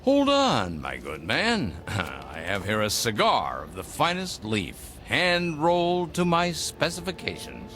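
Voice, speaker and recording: An actor playing an eccentric older gentleman, a secretive mentor with a grandiloquent, fatherly manner in a theatrical radio drama. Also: mid-range voice, wildly swooping pitch, takes it slowly, hardly any pauses, soft background music, full character voice. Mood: genial, knowing. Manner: grandiloquent, avuncular, emphatic. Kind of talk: theatrical audio drama acting.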